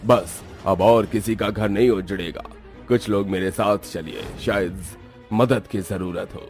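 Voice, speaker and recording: A man's voice: on the low side, fairly even intonation, measured pace, a little mellow, soft background music, natural voice. A man voicing a resolute male tantric exorcist in a cartoon horror story, solemn and commanding. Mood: reassuring. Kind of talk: animated horror story dialogue.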